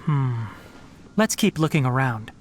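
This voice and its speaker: A man's voice: mid-range voice, expressive, close to an everyday voice. A man acts out a youthful, studious young scholar in a video game. He speaks with composed, precise phrasing and a very mobile melody, in a middling register, over music. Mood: pensive. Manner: measured, thoughtful, precise.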